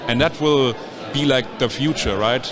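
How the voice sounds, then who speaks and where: A man's voice: medium pitch, normal speed. Man chatting over a conference crowd. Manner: loose, fills speech with "like". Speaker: guest, sales executive. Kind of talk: casual conversation. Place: onsite at a user conference. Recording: busy crowd behind.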